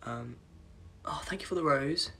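A young man with a croaky voice chatting casually on a phone livestream from his bedroom at night, reading comments aloud and apologizing often. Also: on the high side, a little slow, a bit bright, slight background noise. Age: young adult. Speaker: livestream host. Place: dim bedroom at night, indoors. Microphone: phone camera at arm's length.

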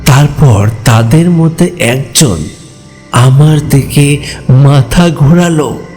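A man's voice: a little high, slow, warm tone, soft background music. A man playing a frightened narrator who recounts a ghost story in a dramatic, suspenseful way. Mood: suspenseful, eerie. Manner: suspenseful, with anxious repetition. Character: frightened male hotel customer recounting his ghost encounter. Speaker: first-person narrator.

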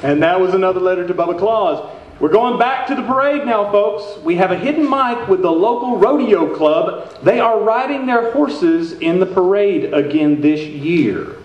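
A man's voice: sits high, expressive, moderate pace, slight background noise. A man playing a small-town radio announcer, reading scripted links in a live comedy show. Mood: upbeat, announcing. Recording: echoey, dull theater recording.

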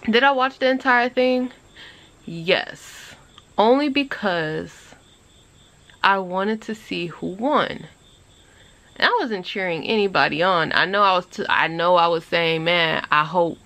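A woman in her twenties chatting casually to a phone camera on an outdoor porch, animated and rambling. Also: slow pace. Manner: animated, chatty, rambling with lively pitch jumps. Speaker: vlogger. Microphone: phone camera at arm's length.

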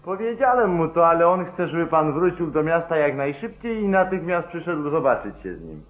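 An actor playing a dutiful sheriff's deputy in an old radio play. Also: male voice, on the high side, big pitch swings, normal speed. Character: dutiful male sheriff's deputy reporting to his boss. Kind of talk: mid-century Polish radio play acting. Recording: echoey, dull 1940s radio recording.

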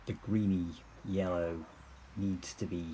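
A man in his fifties explaining his painting outdoors in a sunny churchyard, relaxed and explanatory, with many fillers. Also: low voice, slow pace, a little hiss or hum, low-quality recording. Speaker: painting instructor. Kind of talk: plein air painting demonstration. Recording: outdoor recording with clear birdsong. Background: birdsong and outdoor ambience.

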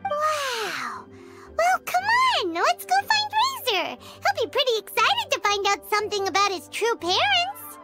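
A tiny floating fairy girl from an anime game. The voice is extremely high and squeaky, leaping around with bubbly excitement. Prominent music plays behind it. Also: unhurried, heavily acted. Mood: excited. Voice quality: squeaky, childlike. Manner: bubbly, exaggerated cartoon delivery. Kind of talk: anime video game voice acting. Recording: studio voice over game music.

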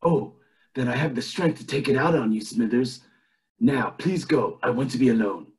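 A man voicing a scheming, self-pitying old tycoon with theatrical, drawn-out delivery in an amateur cartoon table read. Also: medium pitch, animated, darkish tone, close to an everyday voice, low-quality recording. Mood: glum.

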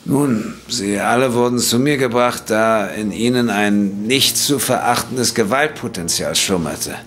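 An actor playing a troubled, earnest doctor in a German audio drama, speaking formally and carefully, a little haltingly. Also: male voice, on the low side, fairly bright tone. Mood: grave, explaining. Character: troubled male doctor, earnest, indignant when accused. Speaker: doctor in a detective story.

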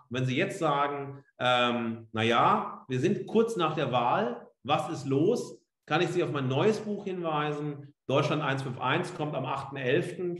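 The recording has a noticeably roomy echo, as from an indoor video call. A middle-aged man with a mid-pitched voice speaks as a professor giving a talk. His delivery is emphatic and unscripted, with pointed rhetorical questions. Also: fairly bright tone. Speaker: professor giving a guest lecture. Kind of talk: freely spoken online lecture with slides. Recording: roomy, echoing indoor sound.

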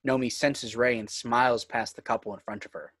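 A man's voice: mid-range voice, fairly even intonation, brisk, a bit bright, no echo. Male narrator, close dry sound. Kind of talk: scripted screenplay narration. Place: indoors on a home video call.

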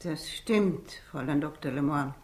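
An actress in a 1960s radio crime drama playing a stout, sombre older housekeeper who recounts things in a measured way. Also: female voice, deep voice, a little mellow, close to an everyday voice. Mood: grave, reporting.

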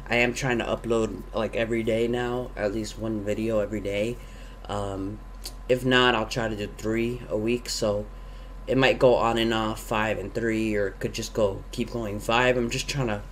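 A man's voice: mid-range voice, slight background noise. A young man with a sore throat and sniffles, chatting casually and thinking aloud with lots of fillers while he plays a game, talking into a headset at home. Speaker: gaming YouTuber. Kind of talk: casual let's-play commentary. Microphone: gaming headset.

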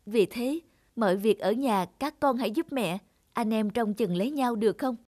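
A woman's voice: on the high side, normal speed, dark tone, no room sound, studio quality, lightly acted. A woman playing a selfless, worn-out peasant mother in a Vietnamese cartoon fairy tale, pleading and tender. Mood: tender, resolved.

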